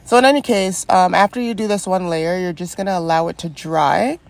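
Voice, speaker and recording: A woman's voice: on the low side, a little fast, creaky. A young woman with a stuffy, congested voice from a cold, chatting through a step-by-step wig install tutorial and encouraging viewers. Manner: chatty and encouraging, frequent ums. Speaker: wig stylist and beauty vlogger.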